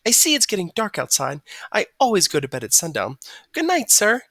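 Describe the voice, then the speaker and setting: A woman voicing a small, gentle female songbird with a soft, musical voice and prim, courteous manners. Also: medium pitch, wildly swooping pitch, brisk, husky, no room sound, pitched above the performer's own voice, exaggerated voice.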